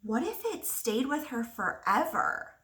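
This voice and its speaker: A woman teacher reading a picture book aloud to young children, expressively, pausing to ask them simple questions. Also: a little high, very animated, fairly bright tone. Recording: roomy echo, distant microphone.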